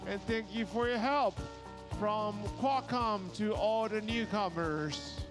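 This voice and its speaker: A man's voice: sits high, slow, music in the background. A man hosting a live event on stage, introducing and thanking people over a sound system. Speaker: event host.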